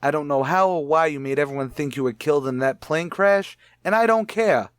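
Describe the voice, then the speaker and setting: A man's voice: fairly high pitch, fairly quick, clean tone, dry recording, studio quality, natural voice. A man acting as a pushy, accusing husband confronting his rival in a radio mystery. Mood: accusing, heated. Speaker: actor.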